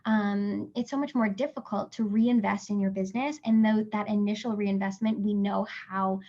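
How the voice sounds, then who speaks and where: A woman's voice: medium pitch, steady tone, normal speed, warm tone, highs cut off. A woman from Canada hosting a live business webinar, chatty and encouraging, checking in often with her listeners while losing her voice. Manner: chatty and encouraging, many fillers and "right?" checks. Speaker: webinar host and business coach.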